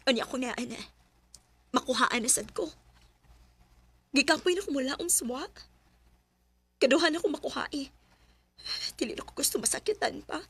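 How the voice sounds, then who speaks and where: A grieving young wife in a Filipino radio drama. Her voice is high and sharp, with a Cebuano accent. She speaks emotionally and pleadingly, full of hurt. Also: moderate pace, pauses often. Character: anguished young wife grieving lost pregnancies.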